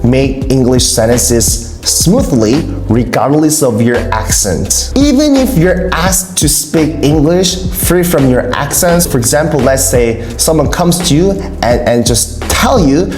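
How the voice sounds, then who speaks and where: A woman's voice: a bass voice, sing-song, takes it slowly, hardly any pauses. A woman from South Korea with a Korean accent, a native Korean speaker, giving an emphatic English-learning monologue straight to viewers and repeating key points. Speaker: English teacher and video host. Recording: roomy echo, faint music.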